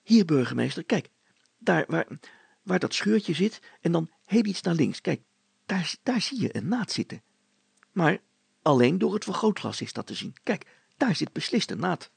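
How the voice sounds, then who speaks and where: Man voicing an eager young man, husky. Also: on the high side, big pitch swings, normal speed, no echo, heavily acted. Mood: insistent.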